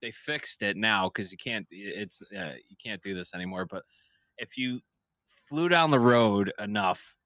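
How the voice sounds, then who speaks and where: A man with a lowish voice hosts a relaxed podcast chat. His tone is forceful and tense, and the sound is close and dry. He talks loosely, swears freely and drifts into tangents. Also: unhurried, no echo. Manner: loose and chatty, swears, laughs, wanders off on tangents. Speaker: podcast host.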